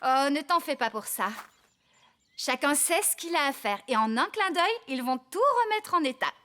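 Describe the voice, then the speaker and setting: A woman voicing a kind, encouraging young farmer in a children's cartoon, animated and reassuring. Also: high-pitched, normal speed, background noise. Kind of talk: French animated children's series dialogue. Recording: music behind the voice.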